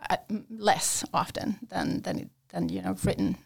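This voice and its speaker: A woman's voice: lowish voice, steady tone, moderate pace, gravelly, husky. A woman working as an academic English tutor, answering interview questions in a hesitant way with many fillers and restarts.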